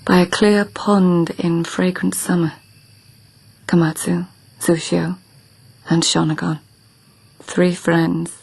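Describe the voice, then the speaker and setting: An actress playing a sharp, proud court lady haunted by memory, speaking in a husky, often half-whispered voice for a radio drama. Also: on the low side, little pitch movement, slow pace, pauses often, exaggerated voice, rough recording. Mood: dreamy. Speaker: lead character. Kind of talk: BBC radio drama acting.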